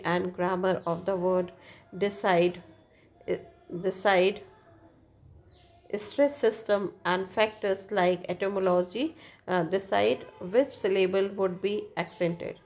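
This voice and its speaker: A woman teacher reading out an English text and explaining it in a lecture, asking her students rhetorical questions along the way. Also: medium pitch. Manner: reads English text slowly, then explains quickly in Hindi. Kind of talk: lecture explaining a textbook passage.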